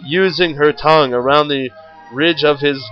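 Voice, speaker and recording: A man's voice: on the high side, steady tone, moderate pace, dull audio, soft background music. A man reading a story aloud in a theatrical, mock-serious way. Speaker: story reader, show host.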